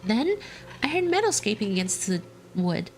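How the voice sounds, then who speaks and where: A woman's voice: mid-range voice, very animated, normal speed, a little mellow, slight background noise. A woman reading a game's story aloud as a streamer, breaking off to joke and laugh between passages. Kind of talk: dramatic reading with commentary.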